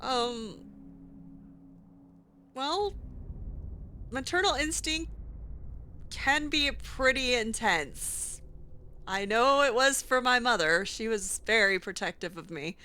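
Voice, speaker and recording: A woman's voice: fairly high pitch, expressive, slow pace, pauses often, sharp tone. A woman speaking in character in an improvised roleplay conversation, reacting hesitantly and letting phrases trail off. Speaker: player voicing her character in a roleplay playthrough.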